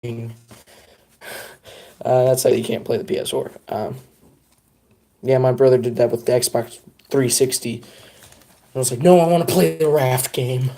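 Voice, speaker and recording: A man's voice: medium pitch, a little slow, pauses often, mellow. A young man chatting casually on a live stream, rambling and self-deprecating as he talks to his viewers.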